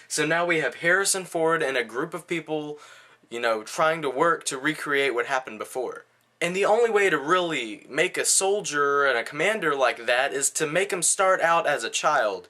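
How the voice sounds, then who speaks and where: Bright-voiced man, casual movie reviewer. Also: fairly high pitch, lively intonation, normal speed. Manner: blunt, slangy, conversational.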